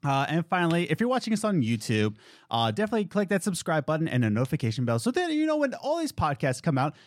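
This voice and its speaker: A male podcast co-host in casual chat who shifts between a low relaxed voice and loud, high bursts when excited. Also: fast talker, no echo, studio quality. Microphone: studio podcast mic.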